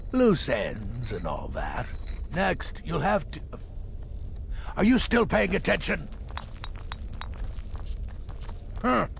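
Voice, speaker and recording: An actor playing a crotchety, scheming old assassin in a video game, gravelly, with a theatrical and condescending delivery. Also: male voice, medium pitch, slight background noise, heavily acted. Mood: irritated, instructive. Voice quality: gravelly, creaky. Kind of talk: video game character acting.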